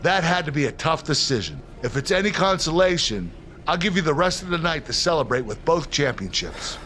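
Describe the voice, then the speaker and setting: A man playing a polite, businesslike wrestling official in a video game cutscene, measured and matter-of-fact. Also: on the high side, sing-song, a bit bright, slight background noise. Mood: polite, apologetic. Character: businesslike male wrestling official, apologetic rule-follower.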